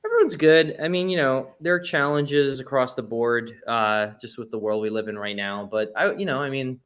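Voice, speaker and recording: A man's voice: mid-range voice, normal speed, fairly bright tone. Man chatting casually as an interview guest. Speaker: touring band musician, interview guest.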